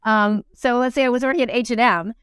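Clear-voiced woman, close and dry. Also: fairly high pitch, fast talker, no echo. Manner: animated, thinking aloud with repeated words and self-interruptions. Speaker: interview guest, musical theatre actor. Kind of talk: casual reflective conversation.

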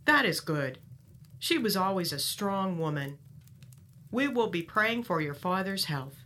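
Bright-voiced actress as a kindly biblical mother. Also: fairly low pitch, very animated, unhurried, a little hiss or hum. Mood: sympathetic. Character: kindly, concerned wife and mother of biblical times. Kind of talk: full-cast audio drama acting.

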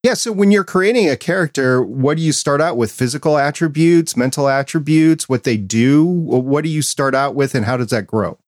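A man's voice: fairly high pitch, lively intonation, normal speed, hardly any pauses, dry recording, studio quality. A middle-aged man hosting a podcast, chatting casually and putting questions to his guest. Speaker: podcast host, interviewer. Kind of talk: casual interview chat.

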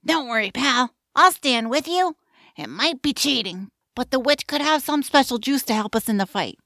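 A woman's voice: high-pitched, animated, moderate pace, pushed and bright, no room sound, clean studio sound, exaggerated voice. An actress playing a brash, loyal, teasing young female adventurer in a fantasy audio drama. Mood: loyal, concerned. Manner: brash, plucky, slangy.

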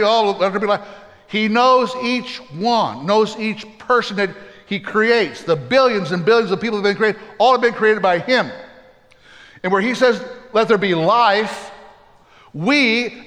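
A man's voice: high-pitched, fairly bright tone, in a large hall. A man preaching a sermon as pastor, earnest and plainspoken, with folksy humorous asides.

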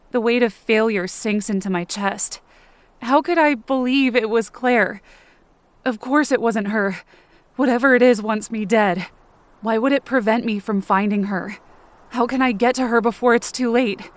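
A woman acting an anxious, self-blaming, injured character who narrates her ordeal in short, halting phrases for an audio drama. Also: fairly high pitch, lightly acted. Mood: despairing, weary. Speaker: fiction podcast performer.

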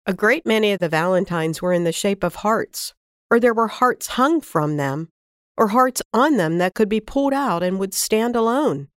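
A woman reading a classic children's story aloud in an expressive, warm storytelling manner on a close studio mic. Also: medium pitch, moderate pace, clear, resonant tone, dry recording.

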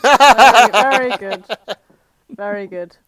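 A woman's voice: a little high, takes it slowly, a bit bright. A woman co-hosting a podcast, blunt and mock-indignant, swearing as she argues. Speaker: podcast co-host. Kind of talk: casual chat.

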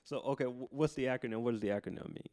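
A man's voice: medium pitch, very fast, darkish tone. An American man hosting a podcast in casual, slangy conversation, agreeable and often echoing what he hears. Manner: slangy, agreeable, echoes the other speaker. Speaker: podcast host.

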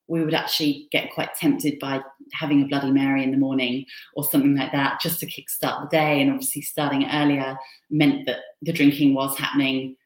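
A woman from Switzerland speaks as an interview guest, telling her recovery story. She sounds open and reflective, and her voice sits low. The room around her is echoey. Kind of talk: candid personal recovery story. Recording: roomy echo.

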